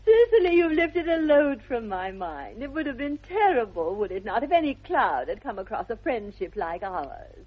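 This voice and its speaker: A woman's voice: fairly high pitch, big pitch swings, normal speed, hardly any pauses, husky. An actress playing a haughty, self-assured young aristocratic lady with an upper-class English accent and affected, precise politeness, in a 1960s radio comedy of manners. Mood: suspicious, gracious. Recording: dull vintage 1960s radio recording.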